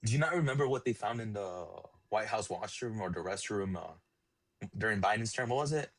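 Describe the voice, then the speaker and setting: A man's voice: on the low side, animated, moderate pace, a bit bright, no room sound. A man from El Salvador calling in to a debate stream, earnest and hesitant, with many ums. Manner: earnest, hesitant, filler-heavy. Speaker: caller. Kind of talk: casual call-in debate.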